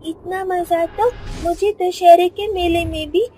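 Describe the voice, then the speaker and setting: A lonely little village girl in a cartoon, voiced by a woman, with a very high, childlike voice. She speaks slowly and pleads in a trusting way, with soft music behind. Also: steady tone. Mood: delighted.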